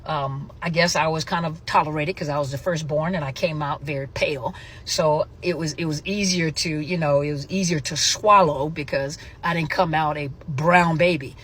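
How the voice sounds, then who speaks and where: A woman's voice: low-pitched, steady tone, moderate pace, a bit bright, a little hiss or hum. A middle-aged woman who grew up in Germany, hosting her own show and chatting casually, rambling with many fillers and self-corrections. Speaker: host. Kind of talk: casual vlog talk.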